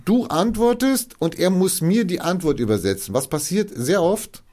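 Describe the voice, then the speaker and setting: A man's voice: high voice, animated, normal speed, dark tone. A man who helps refugees, explaining in a casual conversation, acting out quoted dialogue and often restarting his sentences.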